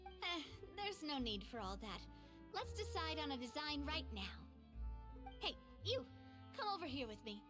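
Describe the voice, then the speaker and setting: A woman's voice: sits high, moderate pace, music in the background. An actress playing a spirited, playful and confident young woman leader in a video game. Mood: bossy, playful. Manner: bubbly, teasing, expressive. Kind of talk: scripted video game acting.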